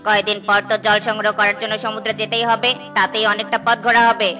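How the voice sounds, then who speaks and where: A woman's voice: mid-range voice, level tone, fast, sharp tone, soft background music, lightly acted. A woman voicing an earnest, cautious cloud who is easily offended in a children's cartoon. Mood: worried, pleading.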